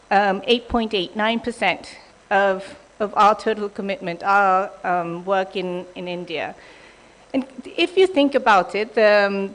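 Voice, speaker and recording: Japanese-born woman presenting on a panel, kinda high voice, slow careful delivery with lots of ums. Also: low-quality recording. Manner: measured, with frequent ums and restarts. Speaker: panelist, development bank program leader. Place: conference hall.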